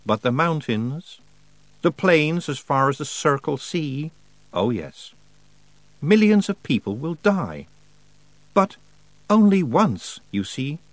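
A man plays an eerie spirit of winter, his voice lifted above his own and drawn out slowly. He sounds dreamy and pleased with himself, calmly chilling, in a storybook reading. Close, dry sound. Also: on the high side, dry recording, voice pushed up. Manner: slow, dreamy, cheerfully chilling.

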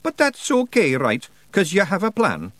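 A wee bossy scots pictsie, squeaky high and bouncy, dry close sound. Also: male voice, wildly swooping pitch, normal speed, a bit bright, dry recording, full character voice. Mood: bossy, cheerful. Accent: put-on broad Scots. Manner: gruff, bossy, cheerful. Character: tiny fierce blue pictsie warrior man.

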